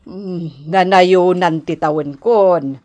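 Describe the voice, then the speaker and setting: A woman's voice: fairly low pitch, takes it slowly, darkish tone. An actress playing an affectionate, nagging middle-aged mother in a radio drama, with a Filipino accent. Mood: coaxing, plaintive. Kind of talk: Ilocano radio drama acting.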